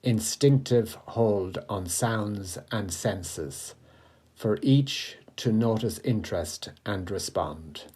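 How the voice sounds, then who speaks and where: A poet giving a measured recitation of verse, in a voice of unclear gender. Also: medium pitch, mellow. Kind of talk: slow, measured poetry recitation.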